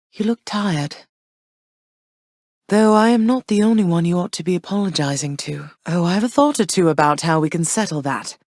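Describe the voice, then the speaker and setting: An actress voicing Persephone, a gentle, wistful queen of the underworld and a mother, in soft, measured, tender tones. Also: fairly low pitch, no room sound, clean studio sound. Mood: fond. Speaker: game character. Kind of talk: game voice lines.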